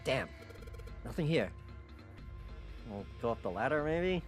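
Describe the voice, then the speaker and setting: A man with a mid-pitched, very animated voice does casual, sweary gameplay commentary. He gets excitable, and music plays clearly behind him. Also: measured pace. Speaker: streamer, main player. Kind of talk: casual gameplay commentary and dramatic read-aloud. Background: background music.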